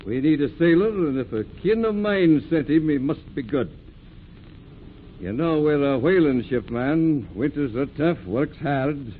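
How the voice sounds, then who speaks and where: Whaling captain, blustery, gruff, fair, lively intonation, vintage radio drama with faint noise. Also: male voice, on the high side, measured pace. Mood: challenging. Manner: blustery, commanding. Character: gruff, fair-minded whaling ship skipper. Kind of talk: old-time radio drama acting. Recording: muffled, noisy old radio recording.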